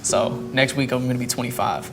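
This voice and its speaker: A man in his twenties, a barber hosting the show, chatting casually while cutting a guest's hair. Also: mid-range voice. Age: 20s. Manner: fast, upbeat, hype opener then relaxed friendly banter. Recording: music bed behind the voice. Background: music.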